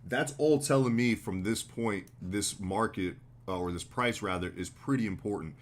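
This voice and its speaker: Low-voiced American man, a bit hoarse. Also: moderate pace. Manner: relaxed, loose, slangy explaining with fillers. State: slightly hoarse, getting sick. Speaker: trading livestream host. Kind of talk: casual live market commentary.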